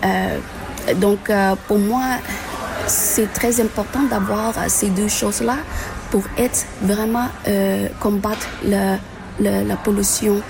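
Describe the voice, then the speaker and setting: A woman from the Philippines, answering an interview at a climate event in halting speech full of fillers and restarts. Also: medium pitch, fairly even intonation, gravelly, over music. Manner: halting, many fillers and restarts, mixes in English terms. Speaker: conference participant.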